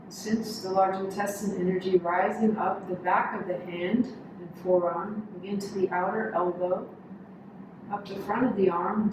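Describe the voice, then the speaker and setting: Woman yoga teacher doing a calm guided body scan, really echoey and kinda far from the mic. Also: medium pitch. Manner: calm, instructional, short measured phrases with pauses. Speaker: yoga instructor. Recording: echoey room, distant mic, noisy background.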